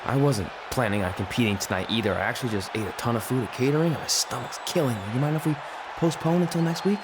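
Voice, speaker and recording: An actor playing an easygoing, cheeky rookie wrestler, talking casually and affably in a video game scene. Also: male voice, mid-range voice, quick pace, natural voice. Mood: nonchalant. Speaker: young challenger wrestler. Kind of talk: conversational in-ring dialogue in a video game. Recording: noisy arena with crowd behind.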